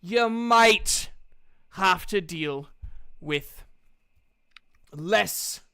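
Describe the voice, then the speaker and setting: Man putting on a brash, pushy dwarf salesman, high-voiced. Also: expressive, drawn-out words, pushed and bright, smooth, dry recording, clean studio sound, heavily acted. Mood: boastful pitch. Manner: emphatic, taunting salesman's patter. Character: brash, scheming male dwarf guild recruiter, pushy and mocking.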